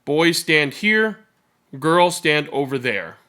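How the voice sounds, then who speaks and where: A man's voice: fairly high pitch, very animated, takes it slowly, sharp tone, full character voice. A man voicing strict residential school clergy in a storybook, giving clipped, commanding orders. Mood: stern, commanding. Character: strict priests and nuns ordering children around.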